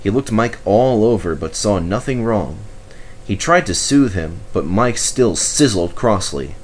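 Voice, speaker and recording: A man's voice: on the low side, lively intonation, measured pace. A man narrating a children's storybook expressively as its reader.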